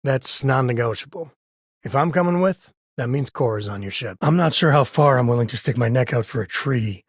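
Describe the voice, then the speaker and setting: An actor playing an easygoing, wry space cowboy and single father in a video game, husky and rough-edged with a folksy, conversational manner. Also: mid-range voice, animated, brisk, no echo, studio quality. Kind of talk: video game character dialogue.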